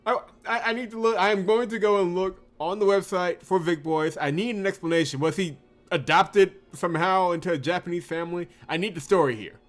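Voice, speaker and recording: A man hosting a let's play, reading game narration aloud and breaking into excitable commentary. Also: high-pitched, fairly quick, soft background music. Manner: excitable, exclamatory reactions.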